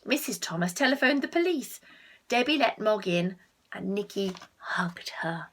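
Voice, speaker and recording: A woman reading a picture book aloud to children, expressive, with asides to the listeners and hushed tones for effect. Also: medium pitch, moderate pace. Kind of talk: animated read-aloud with asides to young listeners.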